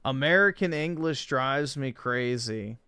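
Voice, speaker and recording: A man speaks slowly and deadpan, his voice gravelly with fry yet smooth and resonant underneath. The sound is close and dry, with no room around it. He comments bluntly and crudely on clips as he streams. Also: mid-range voice, no echo.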